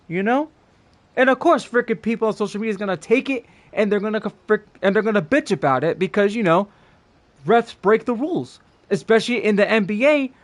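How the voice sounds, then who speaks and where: High-voiced man ranting on stream. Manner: blunt, sweary, emphatic. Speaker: game streamer. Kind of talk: casual opinionated rant on a livestream. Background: game music.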